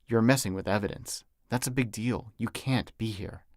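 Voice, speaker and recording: A man's voice: on the low side, moderate pace, a little mellow, no echo, close to an everyday voice. A man reading an audiobook as a stern, suspicious male agent with a curt, authoritative manner.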